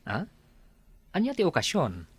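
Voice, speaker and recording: Man playing a jokey Filipino pal, wildly animated. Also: a little high, measured pace, breathy edge, dry recording, full character voice. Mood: teasing. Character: jokey, drink-loving young bachelor pal in village comedy. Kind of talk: Filipino radio drama acting.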